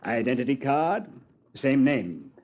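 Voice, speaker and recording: An actor playing a measured, understated British police commander narrating a case in a 1950s radio drama. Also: male voice, medium pitch, very animated, slight background noise. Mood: composed, recounting. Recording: muffled vintage radio recording.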